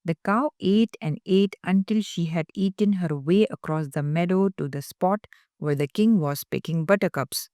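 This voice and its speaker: A woman's voice: fairly low pitch, moderate pace, dark tone, no echo, studio quality. A woman reading a children's picture book aloud as scripted story narration.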